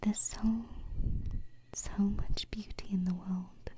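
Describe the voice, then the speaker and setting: Woman as a whispering, gentle alien princess. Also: mid-range voice, flat delivery, close to an everyday voice. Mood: tender, encouraging. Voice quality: soft, breathy whisper. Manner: tender, unhurried, with lingering pauses. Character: gentle, affectionate alien princess, far from home. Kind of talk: ASMR roleplay, intimate whispering to the listener. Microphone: close binaural microphone.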